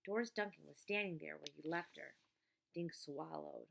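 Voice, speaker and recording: A woman's voice: low-pitched, normal speed, creaky, fairly bright tone. A woman reading a children's story aloud as scripted narration. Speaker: audiobook reader.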